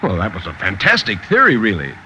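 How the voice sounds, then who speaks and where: A man's voice: fairly low pitch, huge pitch swings, fairly quick. A man reading a horror story in 1950s radio style, with a dramatic, intense, suspenseful delivery. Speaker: narrator, story reader. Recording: noisy, muffled old 1950s radio recording.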